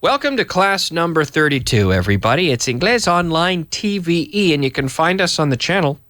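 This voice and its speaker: A man with a cold and a hoarse voice, teaching English live to viewers in a chatty, slow, explaining way. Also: a little high, big pitch swings, a bit bright, no room sound. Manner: chatty, reads viewer messages aloud and explains slowly. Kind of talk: live online English lesson.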